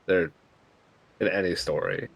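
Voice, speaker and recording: A low-voiced man with heavy vocal fry chats casually as a game co-commentator. He speaks in halting bits with a dry, sarcastic edge. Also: rough recording. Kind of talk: casual chat.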